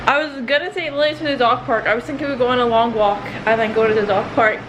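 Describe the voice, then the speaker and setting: A woman's voice: a little high, steady tone, fast, dull audio, noisy background. A woman chatting casually in a vlog, rambling along in a self-deprecating way. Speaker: vlogger.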